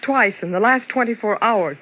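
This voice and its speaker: An actress in a 1950s radio suspense drama playing a frightened but composed married dancer, telling her story in a measured, hesitant way. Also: on the high side, close to an everyday voice. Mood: anxious, composed. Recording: muffled, hissy 1950s radio recording.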